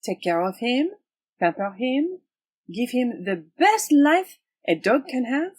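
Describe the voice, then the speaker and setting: Woman acting a proud swordswoman, swooping and husky. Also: fairly high pitch, very animated, slow pace, darkish tone, dry recording, exaggerated voice. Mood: resolute. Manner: theatrical, formal phrasing, imperious. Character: brisk, proud, teasing swashbuckling swordswoman with foreign phrasing. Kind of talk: audio drama acting.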